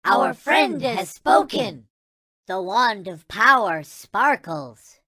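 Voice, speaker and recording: A man's voice: high voice, big pitch swings, takes it slowly, pushed and bright, dry recording, studio quality, full character voice. A man voicing a tiny, childlike alien toy in a video game, speaking with reverent wonder in formal, chant-like phrases. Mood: solemn wonder. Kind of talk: video game character voice clips.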